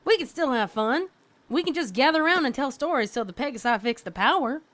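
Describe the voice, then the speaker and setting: A woman's voice: sits high, expressive, fairly quick, a bit bright. A woman voicing a down-to-earth, plucky female farm pony with an American Southern accent and a folksy, plain-spoken manner. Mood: reassuring. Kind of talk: fan fiction character voice acting.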